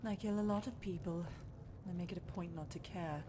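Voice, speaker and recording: An actress playing a cold, contemptuous elf killer in a video game, curt and disdainful. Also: female voice, lowish voice, fairly even intonation, a little fast, background noise, lightly acted. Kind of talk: video game mod voice acting.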